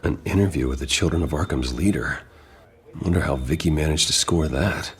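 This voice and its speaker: An actor playing a stern, guarded American billionaire vigilante in a video game, speaking in a serious, clipped way. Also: male voice, very deep voice, a little fast, a little hiss or hum. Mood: businesslike.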